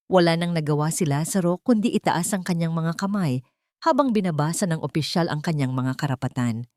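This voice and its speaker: A Filipino woman narrating a scripted love story in a measured, dramatic storytelling manner. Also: sits low, darkish tone, no echo, clean studio sound.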